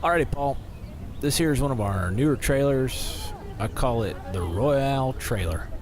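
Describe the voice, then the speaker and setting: An actor playing a folksy, self-satisfied circus boss in an audio drama, jovial and patronizing in manner. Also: male voice, mid-range voice, lively intonation, a crowd in the background, close to an everyday voice. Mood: gleeful, smug. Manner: folksy, jovial, drawn-out showman phrasing.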